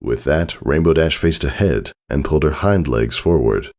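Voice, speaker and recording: Very deep, gravelly male story narrator. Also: expressive, normal speed. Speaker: fan fiction narrator. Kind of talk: dramatic scripted story narration. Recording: soft music behind the voice.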